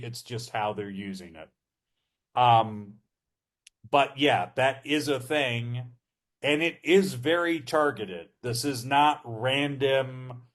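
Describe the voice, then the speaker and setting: A man co-hosting a podcast, explaining things in a measured way with the odd um and uh. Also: medium pitch. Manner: measured, explanatory, with filler ums. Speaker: podcast co-host. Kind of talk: casual podcast conversation.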